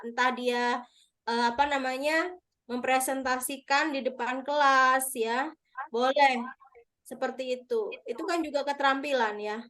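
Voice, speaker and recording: A woman with a high, light voice leads an online review class. She explains terms step by step, patiently checking answers with her participants over a video call. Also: a bit bright. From Madura. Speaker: teacher. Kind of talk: online exam review lesson.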